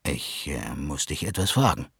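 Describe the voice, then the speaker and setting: A man voicing an impatient, gruff middle-aged father who hesitates and mumbles. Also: a bass voice, sing-song, moderate pace, no room sound, studio quality, pitched below the performer's own voice, heavily acted. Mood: uneasy.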